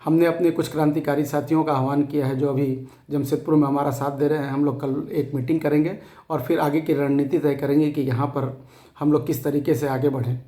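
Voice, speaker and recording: Hoarse, defiant man rallying followers. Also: on the high side, flat delivery, a little fast, dark tone, reverberant. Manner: defiant, emphatic, repeats phrases for force. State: hoarse, scratchy throat. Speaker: activist addressing fellow depositors. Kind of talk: impassioned rallying address to followers.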